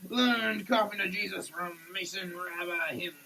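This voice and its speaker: A performer giving a halting, theatrical, declamatory dramatic reading in a running shower. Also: mid-range voice, creaky, bright, forceful tone, slight background noise, poor audio. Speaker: solo sketch performer. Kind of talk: dramatic reading of a product label. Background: running water.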